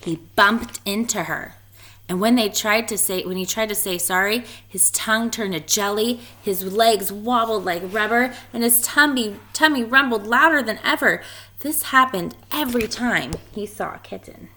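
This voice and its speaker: A woman reading a children's picture book aloud in an expressive storytelling style. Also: mid-range voice, moderate pace. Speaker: story reader.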